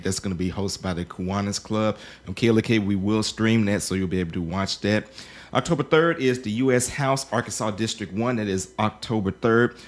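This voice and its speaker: A man, a local radio host reading out upcoming events in a brisk, informative way. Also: fairly low pitch. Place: radio studio.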